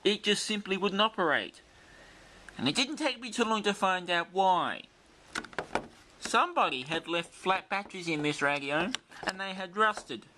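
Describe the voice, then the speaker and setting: A man's voice: high-pitched, lively intonation, moderate pace, background noise. A man giving an unscripted show-and-tell as a video presenter, explaining things carefully and in detail. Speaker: presenter of a vintage radio demonstration video. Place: indoors in a bright room.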